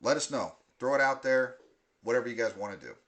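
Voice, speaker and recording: A man's voice: mid-range voice, expressive, brisk, fairly bright tone. A young man hosting a casual podcast, rambling with lots of fillers and frequent laughs. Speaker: podcast host.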